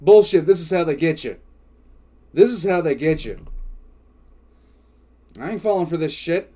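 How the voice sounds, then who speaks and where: High-voiced older man, exasperated and profane. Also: animated, normal speed, warm tone. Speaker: reaction video host. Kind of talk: off-the-cuff reaction commentary.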